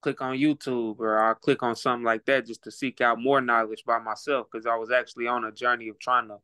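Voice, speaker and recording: A man's voice: medium pitch, flat delivery, a little fast, pushed and bright, smooth, no room sound. A man with an Oklahoma accent, talking as a guest on a casual podcast in a laid-back, reflective way, repeating words while he thinks. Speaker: guest, rapper and boxer.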